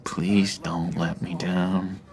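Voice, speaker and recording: A male actor voicing an unstable, needy villain in a video game, halting and theatrical, with a creaky voice that turns breathy when hushed. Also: sits low, little pitch movement. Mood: pleading, conspiratorial. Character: unstable, clingy, awkward young male villain with manic laughter.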